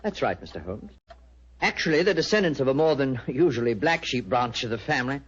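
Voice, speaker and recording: A well-bred young earl in a vintage radio drama. His voice is husky and moves expressively up and down. He comes across as earnest, polite and a little hesitant, on a dull old recording. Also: medium pitch, big pitch swings, brisk. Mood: polite, conversational. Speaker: actor playing a client in a radio serial. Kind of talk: 1940s radio drama acting.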